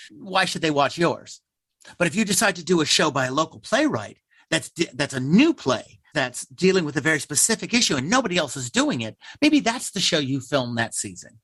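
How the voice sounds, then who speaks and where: A man's voice: medium pitch, fairly bright tone, dry recording. A man giving earnest, emphatic opinions as an interview guest in conversation. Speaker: interview guest, theater artist.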